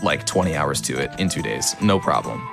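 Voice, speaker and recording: A man with a deep, low voice that is a little raspy from being ill chats casually as a speedrunner, full of "like" and restarts. Game music plays clearly behind him. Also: lively intonation, a little fast. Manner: chatty, many fillers and restarts. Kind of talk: casual gaming chat while playing.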